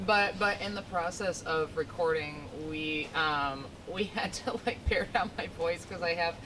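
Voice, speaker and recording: A woman with a deep speaking voice, a singer and podcast guest, chatting casually with frequent laughs and a rambling, self-deprecating manner. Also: measured pace, noisy background.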